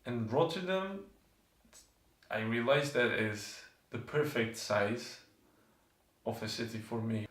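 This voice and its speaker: Man, twenties, Turkish accent. Reflective documentary interviewee, hesitant phrasing, middling-to-low pitch. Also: takes it slowly, pauses often, fairly bright tone, echoey room. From southern Turkey. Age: 20s. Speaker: student, documentary subject.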